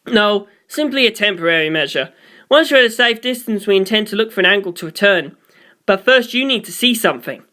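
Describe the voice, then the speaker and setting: A reader as a tense, bright-voiced mission control man. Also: medium pitch, lively intonation, fairly quick. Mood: controlled panic. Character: tense male mission control officer over speakers.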